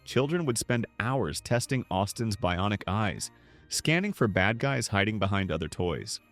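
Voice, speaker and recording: A man's voice: fairly low pitch, very animated, a little slow, a bit bright, soft background music. A man reading scripted nostalgic documentary narration.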